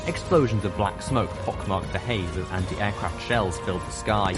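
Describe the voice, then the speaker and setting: A man reading first-person fiction aloud in a steady, measured way, over background music. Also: deep voice. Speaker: audiobook reader. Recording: music playing underneath.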